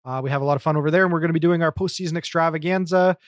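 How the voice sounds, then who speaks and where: Male podcast co-host, fairly high voice, dry close sound, casual delivery. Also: steady tone, racing, dry recording. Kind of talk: casual chat.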